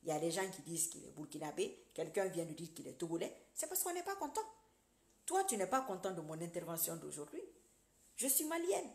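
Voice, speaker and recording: Preachy, animated woman in an echoey room. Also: a little fast. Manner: animated, preachy, addresses viewers directly; jumps between loud emphatic bursts and low muttered asides. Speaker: social media commentator. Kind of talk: casual political monologue to followers.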